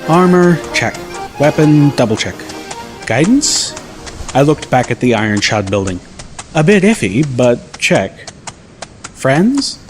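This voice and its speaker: A man's voice: mid-range voice, sing-song, slow pace, pauses often. A man reading scripted first-person story narration as an audiobook narrator. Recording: loud music bed under narration. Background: music.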